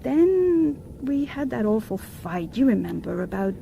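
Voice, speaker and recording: Anxious, high-voiced wife. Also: female voice, very animated, a little slow, creaky, warm tone, a little hiss or hum, heavily acted. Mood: hesitant, confessing. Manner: hesitant, breathless, pleading. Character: anxious, guilt-ridden wife confessing to her husband. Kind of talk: radio drama acting.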